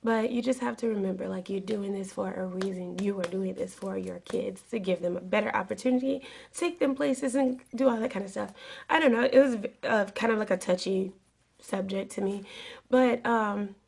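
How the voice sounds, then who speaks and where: A young woman with a raspy voice, getting over a cold, rambling earnestly through a casual advice vlog to her camera. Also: medium pitch, fairly even intonation, normal speed, a little mellow. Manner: rambling, earnest, with many fillers. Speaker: flight attendant vlogger.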